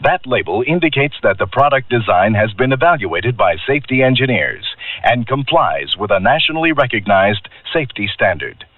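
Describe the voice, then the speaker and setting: A man's voice: mid-range voice, big pitch swings, normal speed, no echo. A man reading an orderly, instructive public service announcement for radio. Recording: tinny band-limited old radio broadcast, faint music.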